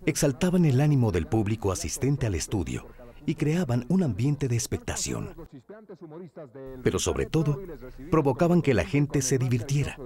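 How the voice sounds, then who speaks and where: A man with a Mexican accent reading scripted narration for a documentary. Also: mid-range voice.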